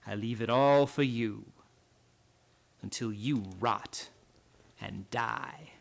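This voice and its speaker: An actor playing a bitter old servant in a radio drama, speaking in deliberate, folksy, menacing phrases. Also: male voice, mid-range voice, creaky. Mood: bitter, gloating. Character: bitter old servant boatman, gloating with lifelong hatred.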